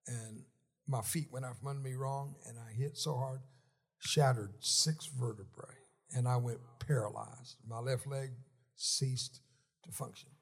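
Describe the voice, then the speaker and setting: A man in his seventies giving a folksy, joking testimony sermon while seated in a church, often halting and restarting. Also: medium pitch, steady tone, gravelly. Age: 70s. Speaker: guest speaker at a church.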